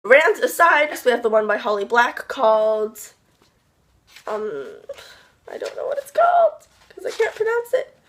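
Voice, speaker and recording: A young woman with a fairly high voice chats through a casual book review. She gushes and rambles, full of "like" and exasperated asides. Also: huge pitch swings, moderate pace, long pauses, fairly bright tone. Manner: chatty, gushing and rambling, with frequent "like" and exasperated asides.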